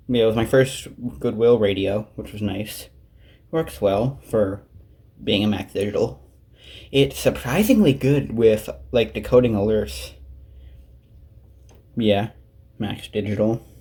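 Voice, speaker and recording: A man's voice: fairly low pitch, darkish tone. A man giving a casual, unscripted show-and-tell of his collection, rambling offhandedly with muttered asides to himself. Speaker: collector presenting his radios. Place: indoors, at home by a desk. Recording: clearly noisy amateur recording.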